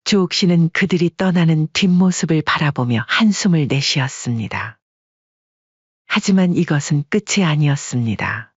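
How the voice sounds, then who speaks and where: A narrator reading a family drama in calm, measured scripted narration. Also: mid-range voice, breathy edge, no echo, muffled recording.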